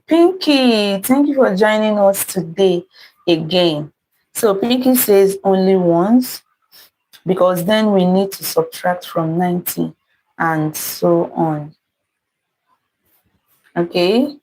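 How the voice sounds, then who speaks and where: A woman's voice: medium pitch, darkish tone, slight background noise, poor audio. A woman with a Nigerian accent hosting an interactive math puzzle live stream, reading out comments and greeting viewers by name. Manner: unhurried, repeats greetings and thanks to viewers by name.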